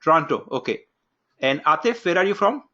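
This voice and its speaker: A man opening an online course from his home office, giving instructions briskly and calling on participants one by one. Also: fairly high pitch, big pitch swings, pushed and bright, highs cut off. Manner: brisk, instructive, takes roll call. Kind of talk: live online class introduction. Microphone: video call.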